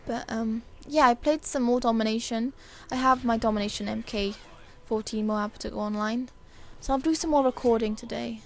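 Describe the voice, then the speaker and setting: Hoarse, high-voiced woman doing gameplay commentary. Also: fairly even intonation, moderate pace, few pauses. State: hoarse, dry voice. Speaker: gaming video creator.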